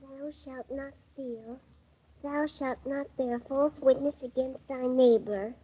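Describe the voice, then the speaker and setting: Casting: solemn young girl, high, breathy, very slow, old radio sound. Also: steady tone, heavily acted. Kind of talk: 1930s radio drama acting. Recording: dull old radio broadcast.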